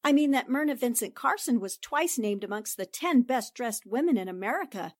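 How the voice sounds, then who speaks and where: A woman's voice: on the high side, lively intonation, no echo, clean studio sound. A woman playing a poised, inquisitive newspaper reporter who speaks politely and precisely, in 1940s radio drama style. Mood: confident. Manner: polite, precise, deliberate questioning.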